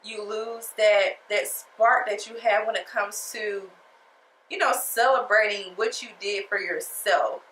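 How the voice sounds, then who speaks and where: A woman's voice: mid-range voice, steady tone, measured pace, fairly bright tone, reverberant, a little hiss or hum, rough recording. A woman talking through a makeup tutorial in a casual, sassy, chatty way, her nose stuffed up from a cold. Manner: chatty, sassy, talks straight to viewers. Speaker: beauty vlogger.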